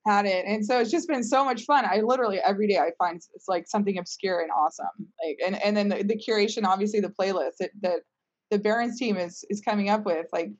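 A woman's voice: medium pitch, fast talker, lo-fi sound. A woman speaking as a company founder in a casual panel conversation, talkative and emphatic, often checking her points with "right?".

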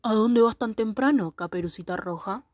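Sly storybook wolf, played by a woman: husky, coaxing, sweetly deceitful, radio play. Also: on the high side, moderate pace, a little mellow, natural voice. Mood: falsely friendly. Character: sly, smooth-talking male wolf of a fairy tale. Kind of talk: school radio play acting.

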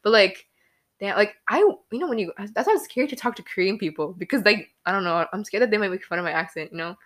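Young US woman, quick chatty podcast co-host, filler-heavy. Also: mid-range voice, lively intonation. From United States. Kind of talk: casual chat.

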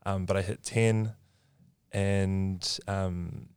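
Young NZ guy, very low and even voice, dry close sound, earnest storyteller. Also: drawn-out words, clean tone, no room sound. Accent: New Zealand. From New Zealand. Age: 20s. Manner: earnest, reflective storytelling with frequent "like". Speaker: podcast guest. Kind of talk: casual chat.

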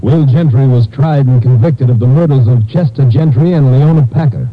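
A mid-range male voice of an actor gives formal, solemn crime-drama narration. He is deliberate and sober, over faint music on a dull old radio recording. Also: medium pitch, lightly acted. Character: sober male announcer reporting a case's outcome.

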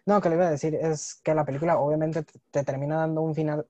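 A man's voice: a little high, little pitch movement, fast talker, warm tone, no echo, dull audio. A young man with a Mexican accent explaining things in casual conversation, often checking for agreement. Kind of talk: casual chat.

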